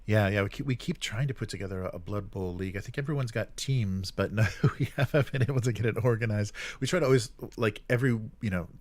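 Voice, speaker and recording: A man hosting a tabletop gaming livestream, chatting casually and reading out viewer comments in a friendly, informal, halting way. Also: fairly low pitch, no room sound.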